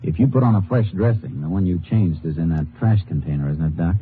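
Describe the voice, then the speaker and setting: Actor as deep-voiced, terse Texas Ranger. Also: male voice, brisk, slight background noise, natural voice. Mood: businesslike, steady. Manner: terse, matter-of-fact. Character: steady, determined Texas Ranger lawman in a western. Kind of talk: old-time radio western acting with narration. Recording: muffled vintage radio recording with music.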